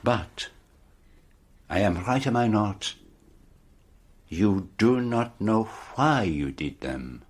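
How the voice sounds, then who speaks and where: An actor playing a proud, shrewd detective with a Belgian French accent in a radio play, speaking formally and precisely. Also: male voice, on the low side, expressive, takes it slowly, long pauses. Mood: gentle, pressing.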